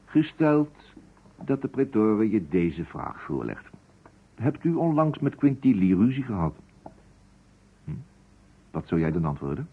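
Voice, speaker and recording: An actor playing a shrewd, calm investigator who questions deliberately and insistently in an old radio drama. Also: male voice, lowish voice, slightly rough, heavily acted. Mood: probing, firm. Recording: dull vintage radio recording.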